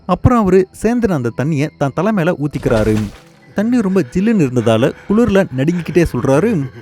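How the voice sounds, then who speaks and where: A man narrating a scripted cartoon horror story with dramatic, measured delivery. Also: on the high side, warm tone.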